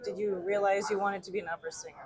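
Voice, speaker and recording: A young woman with a mid-pitched voice hosts an interview at a restaurant. She sounds friendly and encouraging, keeping things moving with short prompts and questions. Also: steady tone, racing, darkish tone. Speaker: host. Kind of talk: hosting an interview.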